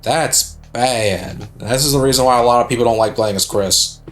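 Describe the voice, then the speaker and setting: A man's voice: mid-range voice, moderate pace, a bit bright, faint music behind. A young adult man casually commenting on a game as he plays, joking, swearing freely and laughing at himself. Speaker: let's play host.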